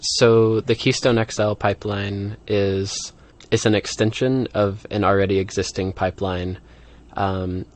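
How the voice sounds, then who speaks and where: Real low monotone guy from the midwest, activist being interviewed, casual, halting, says like a lot. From Midwestern United States. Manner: halting, with frequent filler "like".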